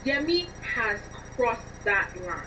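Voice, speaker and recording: A woman being interviewed, explaining things in an expressive, emphatic conversational way over a distant, dull and noisy connection. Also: fairly high pitch, slow. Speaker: interview guest, scriptwriter.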